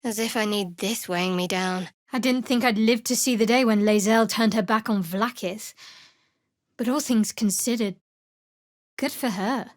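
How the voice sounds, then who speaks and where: A woman playing a guarded, sardonic young female cleric adventurer in a fantasy video game, with a dry, wry, measured delivery. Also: mid-range voice, no room sound, close to an everyday voice. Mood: earnest.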